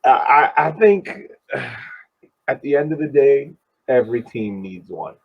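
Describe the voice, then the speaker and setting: A man co-hosting a sports podcast, giving casual soccer analysis in a halting way, repeating phrases for emphasis, on slightly dull audio. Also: mid-range voice, expressive, gravelly, slightly rough.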